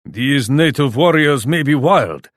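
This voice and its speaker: An actor playing an arrogant, ruthless warlord in a video game, speaking in a stern, imperious and formal manner. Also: male voice, mid-range voice, expressive, unhurried, no echo, clean studio sound, natural voice. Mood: condescending. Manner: imperious, stern, formal, refers to himself in third person. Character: arrogant, ruthless, scheming warlord who scorns his allies. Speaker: computer player in a strategy game. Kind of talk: scripted video game voice lines.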